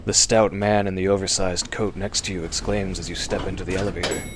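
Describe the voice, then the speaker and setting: A man's voice: sits low, level tone, brisk, slight background noise. A man delivering suspenseful, theatrical scripted narration as the storyteller of a horror audio drama.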